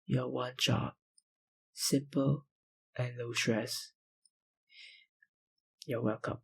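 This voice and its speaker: An actor playing a possessive, powerful vampire kidnapper in an ASMR roleplay, breathy and husky, with a dominant, condescending manner. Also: male voice, medium pitch, flat delivery, drawn-out words, full character voice. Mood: smug, coaxing. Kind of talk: ASMR roleplay, dramatic monologue.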